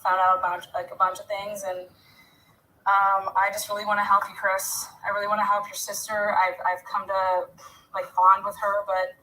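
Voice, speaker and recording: A woman's voice: medium pitch, little pitch movement, moderate pace, sharp tone, slight background noise. A woman talking casually and earnestly on a recorded phone call, with a familiar manner. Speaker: caller offering help with a legal case.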